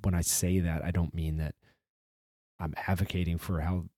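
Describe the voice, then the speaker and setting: A male podcast host from the restaurant industry, talking casually and rambling with restarts and swearing, his voice a bit hoarse as he recovers from the flu. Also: low voice, little pitch movement, normal speed, dark tone, dry recording, studio quality. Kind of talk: casual solo intro monologue.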